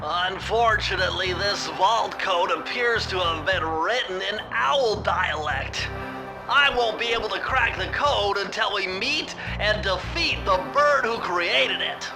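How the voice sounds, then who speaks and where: A man's voice: high-pitched, unhurried, poor audio. A man playing a nerdy, earnest gadget-expert animal sidekick in a cartoon video game, explaining things precisely and bookishly. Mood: earnest, thoughtful. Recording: music behind the voice.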